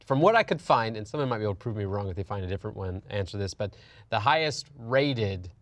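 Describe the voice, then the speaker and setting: A man's voice: fairly low pitch, lively intonation, fast talker, no echo. A man talking casually and with firm opinions as a panelist on a discussion show. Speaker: panelist on a film and gaming talk show.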